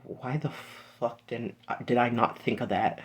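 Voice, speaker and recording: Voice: mid-range voice, animated, unhurried, natural voice. A storyteller voicing a young male hero who is exasperated and swears constantly.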